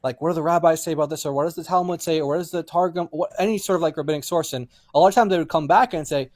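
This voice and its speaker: A man's voice: on the high side, rapid-fire, a little mellow, no room sound. A man co-hosting a casual discussion, insisting on his points and repeating them for emphasis.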